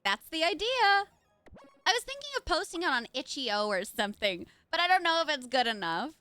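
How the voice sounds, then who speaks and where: A woman voicing Nora, a calm, modest young woman artist, with a soft, level, reassuring delivery. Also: high voice, fairly quick, faint music behind, pitched above the performer's own voice, heavily acted.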